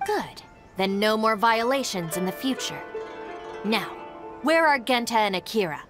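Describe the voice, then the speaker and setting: A woman's voice: fairly high pitch, lively intonation, measured pace. A woman playing a stern, composed young female gang deputy in a video game, formal and firm in manner. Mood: stern, businesslike. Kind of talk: video game English dub acting. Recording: music behind the voice. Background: game music.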